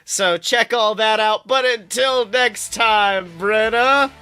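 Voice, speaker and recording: Bright, high-pitched man bantering profanely. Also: slow pace, clean tone. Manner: profane, animated, exclamatory. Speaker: podcast co-host. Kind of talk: casual comedic chat.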